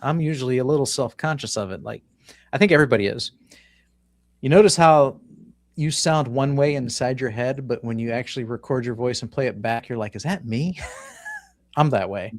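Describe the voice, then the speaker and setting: A man's voice: medium pitch, moderate pace. An easygoing man hosts a casual live video chat, reading viewer comments and chatting. His mid-range voice sounds dry and close, with no room echo. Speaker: host. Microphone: home video-call setup.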